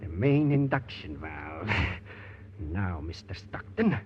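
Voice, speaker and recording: A vengeful old saboteur in an old radio play. He speaks slowly and gloatingly, with wildly swinging pitch. Heavy noise covers the muffled recording. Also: very animated, heavily acted. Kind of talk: 1940s radio acting.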